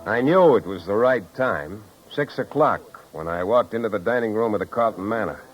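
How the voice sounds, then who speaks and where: A man's voice: on the low side, expressive, moderate pace, music in the background, natural voice. An actor playing a hard-boiled, wisecracking private detective, with wry, clipped tough-guy delivery in a 1950s radio drama style. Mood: brisk. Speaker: lead actor and narrator. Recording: dull old 1950s radio recording.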